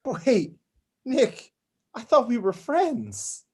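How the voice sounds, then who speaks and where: Slow, pompous network executive man acting. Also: very high-pitched, wildly swooping pitch, darkish tone, husky, exaggerated voice. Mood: hurt. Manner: slow, theatrical. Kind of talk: comedy script table read.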